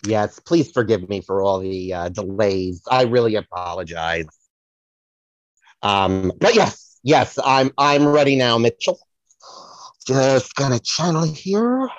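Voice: medium pitch, lively intonation, slow, no room sound, dull audio. A performer playing a flustered, dramatic psychic who rambles in repeated exclamations in a comic audio play. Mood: apologetic, scattered. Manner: breathless, rambling, repetitive exclamations. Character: flustered, dramatic celebrity psychic channeling spirits.

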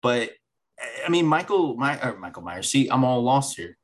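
A man's voice: medium pitch, very animated, normal speed. A man co-hosting a podcast in casual chat, rambling and restarting his sentences, emphatic about his opinions. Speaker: podcast co-host.

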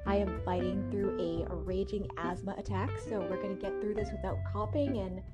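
A woman with a breathy, husky, asthmatic voice, hosting a podcast and reading aloud. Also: medium pitch, steady tone, moderate pace, loud music behind the voice. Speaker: podcast host and book reader. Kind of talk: casual podcast intro, then audiobook-style reading of a classic novel.

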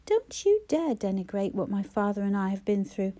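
A woman's voice: medium pitch, big pitch swings, normal speed, mellow, close to an everyday voice. A woman voicing a stern younger man who speaks in a cold, cutting and condemning way.

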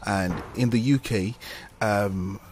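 A Nigerian-accented man whose first language is Yoruba, talking with a slightly low voice. He tells his stories emphatically and with lively energy, as a comedian guest on a podcast. A faint hiss sits under his voice. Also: slow pace. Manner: animated, emphatic storyteller. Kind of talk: podcast interview chat.

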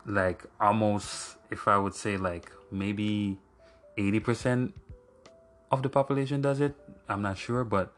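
A man's voice: on the low side, a bit bright. A young man from Jamaica hosting his own podcast, talking casually and rambling with fillers and self-corrections. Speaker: podcast host. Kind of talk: casual solo podcast monologue.

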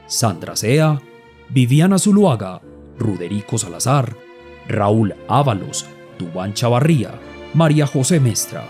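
A man's voice: lowish voice, darkish tone. A man reading scripted credits as a radio serial announcer. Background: music.

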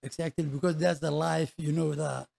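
Male interview guest, Somali accent, high voice with gravelly fry, halting second-language English, conversational storyteller. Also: steady tone, darkish tone. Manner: halting, repetitive non-native English.

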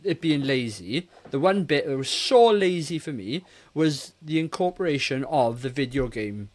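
A man's voice: medium pitch, lively intonation, normal speed. A man in his forties giving blunt, casual opinions on a film as a podcast co-host. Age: 40s. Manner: blunt, mildly sweary.

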